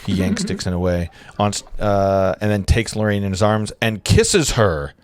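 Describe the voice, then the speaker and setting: A man's voice: lowish voice, animated, normal speed, background noise. A man narrating a film script's stage directions in a casual table read.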